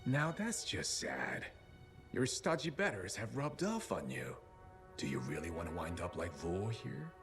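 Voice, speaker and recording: A smug, low-voiced, swooping theatrical scientist. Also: male voice, wildly swooping pitch, a little slow, over music, full character voice. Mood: smug, taunting. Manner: mocking, patronizing, theatrical. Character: smug, theatrical captive male scientist, eccentric and slippery. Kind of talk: video game cutscene acting.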